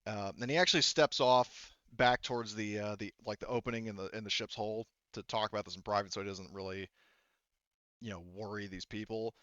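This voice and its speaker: A man works as game master, improvising narration in a halting, conversational way. His lowish voice is bright and clear, captured dry and a little dull. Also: steady tone, no room sound. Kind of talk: improvised tabletop roleplay narration.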